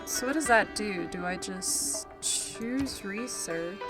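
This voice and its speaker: A woman streamer calmly thinking aloud as she plays, keeping an even tone over game music. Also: medium pitch, slow. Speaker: gameplay streamer. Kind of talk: casual gameplay commentary.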